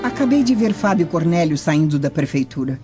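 A woman's voice: deep voice, sing-song, fairly quick. Scheming patrician wife acted by a woman, haughty, dull-sounding radio play recording. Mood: suspicious. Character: proud, dangerous, beautiful Roman wife, scheming. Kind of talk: radio drama acting. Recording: dull lo-fi audio under music.

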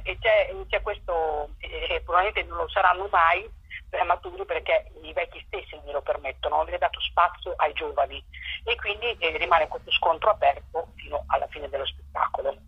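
A woman with a very rough, husky voice explains in an interview, stopping to rephrase. She comes over a dull, lo-fi speakerphone with little room sound. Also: sits low, normal speed, dry recording. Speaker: stage actress, interview guest. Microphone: phone on speaker.

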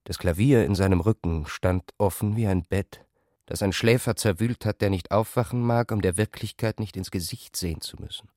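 A man's voice: fairly low pitch, normal speed, darkish tone, no echo, studio quality. A man reading scripted literary narration for a radio production.

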